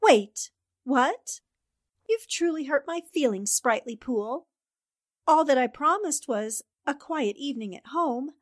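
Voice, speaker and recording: Woman acting a high, unhurried, teasing young reporter. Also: lively intonation. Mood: wry, teasing. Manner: mock-hurt, wry teasing. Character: clever, teasing young female newspaper columnist. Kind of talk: 1940s radio drama acting.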